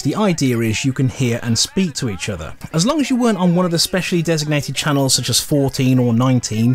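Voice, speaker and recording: A middle-aged British man giving a chatty, scripted retrospective narration with wry, colloquial humour. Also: mid-range voice. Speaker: presenter.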